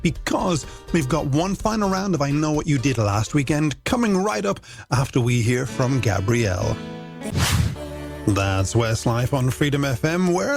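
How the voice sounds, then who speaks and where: An Irish man hosts a music radio show in a slightly high voice with a creaky edge. His delivery is scripted and playful, full of wordy, alliterative patter. Faint music plays underneath him. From Ireland. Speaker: radio host. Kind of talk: scripted late-night music radio presenting.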